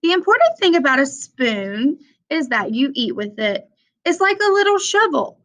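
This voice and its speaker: A young adult woman reading a children's picture book aloud with simple, deliberate phrasing for young listeners. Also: high voice, sing-song, a bit bright. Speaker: read-aloud presenter for children. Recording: dull, lo-fi sound.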